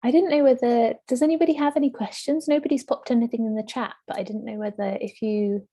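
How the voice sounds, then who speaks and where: A woman hosting an online book launch in an informal, effusive and somewhat halting way. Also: a little high, steady tone, warm tone. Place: online event, on a video call.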